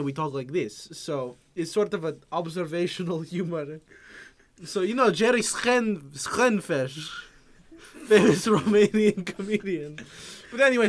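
Guy putting on a pompous foreign comic, broken english, bright voice, pitched up a bit, deadpan bragging. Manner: broken English, dropping articles; grandiose, deadpan self-promotion. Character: boastful adult male foreign comedian guest. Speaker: podcast guest. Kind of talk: improvised comedy podcast bit.

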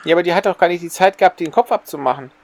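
A man with a fairly high voice and a strong creak co-hosts a podcast. He talks casually, questioning and arguing over details as he goes. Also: rapid-fire. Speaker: podcast co-host. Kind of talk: casual discussion of an audio drama's plot.

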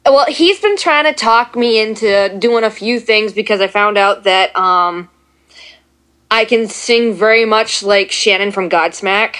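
Casual guest, woman with a low New voice, talkative and candid. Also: measured pace, a bit bright. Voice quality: deeper voice for a woman. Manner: chatty, self-deprecating, talks at length. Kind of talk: casual chat.

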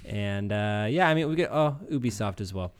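A man co-hosting a casual, joking gaming podcast, talking in a clear, smooth voice. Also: lowish voice, animated, brisk. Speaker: podcast co-host reading a stock segment. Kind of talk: casual joking group chat about games.

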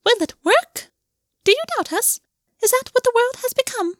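A woman's voice: very high-pitched, normal speed, no room sound, studio quality, pitched above the performer's own voice. A woman voicing a mocking paper origami bird with a chirping, papery voice. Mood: taunting. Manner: taunting, riddling.

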